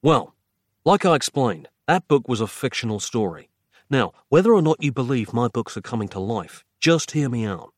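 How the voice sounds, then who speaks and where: A man's voice: medium pitch, big pitch swings, normal speed, no echo, studio quality. A man voicing a grave, self-important male writer hero in a thriller audiobook, with a drawling, grim delivery. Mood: earnest, pompous.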